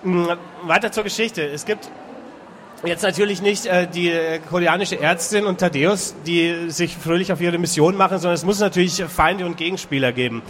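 A male interviewer with a slightly raised pitch asks his questions in a roundabout way, breaking them up with hesitations. He keeps a casual, easygoing tone. Faint noise hums in the background. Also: a bit bright. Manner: halting questions with many filler sounds.

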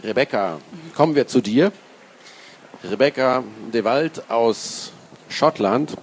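A man conducts an interview in a loose, conversational manner, often hesitating with fillers. His voice sits at an ordinary pitch. A faint noise runs underneath the recording. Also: animated, takes it slowly, rough recording. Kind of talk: interview questions.

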